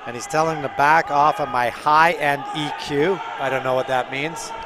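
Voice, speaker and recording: A man doing relaxed, joking color commentary on a curling broadcast, with a slightly nasal voice. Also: on the high side, normal speed, crowd noise, background noise. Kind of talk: play-by-play commentary.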